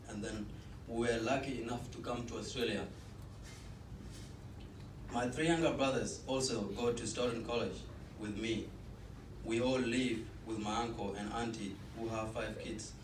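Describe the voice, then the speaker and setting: An eighteen-year-old man from South Sudan, a student cultural ambassador, reading an earnest personal statement with small restarts. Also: medium pitch. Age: teens. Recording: echoey room, distant mic, noisy.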